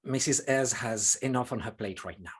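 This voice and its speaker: A woman acting a calm, reassuring emergency medical worker in a table read. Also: extremely low pitch, normal speed, husky, full character voice.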